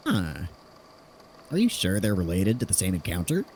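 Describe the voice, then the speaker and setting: A man's voice: sits low, huge pitch swings, normal speed, a little mellow, a little hiss or hum, heavily acted. A man playing a curious, analytical theorist in an audio drama, conversational and inquisitive.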